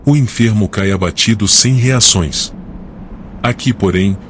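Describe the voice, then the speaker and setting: A man plays an experienced desert camel driver in an audiobook drama, a superstitious servant with a low voice. He speaks gravely and warily, with slight noise behind him. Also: lightly acted. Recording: faint background noise.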